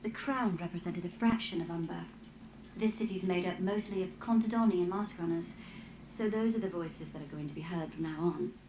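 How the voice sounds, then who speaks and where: Woman voicing a calm matter of fact scholar in a fantasy game, soft dark tone, echoey. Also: on the low side, slight background noise, lightly acted. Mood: composed, explaining. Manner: measured, explanatory. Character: composed, matter-of-fact female scholarly advisor. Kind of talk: fantasy video game dialogue.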